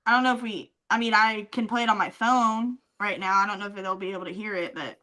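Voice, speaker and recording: A woman's voice: mid-range voice, fairly even intonation, fast, pushed and bright. A woman co-hosting a podcast in casual chat, speaking emphatically in slang and repeating phrases for effect. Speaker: podcast co-host.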